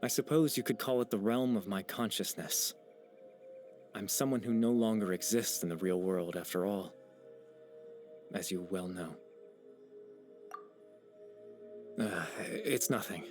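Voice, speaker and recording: A low male voice over background music, an actor in a game role. He is a gentle, wistful young man with courteous, hesitant manners. He speaks softly, like someone resigned to fading away. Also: moderate pace, close to an everyday voice. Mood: calm, resigned. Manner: soft-spoken, polite, wistful, hesitant. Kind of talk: video game voice acting.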